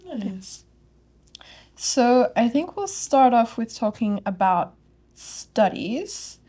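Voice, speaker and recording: A woman's voice: on the high side, big pitch swings, very slow, mellow, husky. A young woman from Australia hosting a casual student panel chat, upbeat and chatty, on a lapel mic in a small studio. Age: young adult. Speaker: host, student ambassador. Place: studio with curtains and plants.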